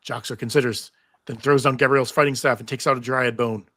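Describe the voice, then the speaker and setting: Bright-voiced man narrating a script. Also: medium pitch, steady tone, fairly quick. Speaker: narrator reading stage directions. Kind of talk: scripted narration at a live table read.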